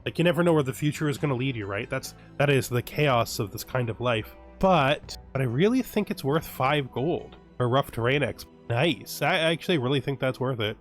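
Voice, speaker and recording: A man's voice: medium pitch, expressive, moderate pace, hardly any pauses, soft background music. A man hosting a strategy game let's play, giving dramatic readings of the game's text and then breaking into casual asides. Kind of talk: game roleplay commentary.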